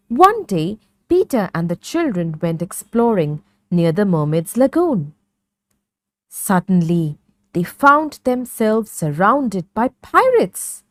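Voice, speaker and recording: A woman narrating a scripted children's story in a measured, clearly phrased read-along manner. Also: medium pitch, no echo, studio quality.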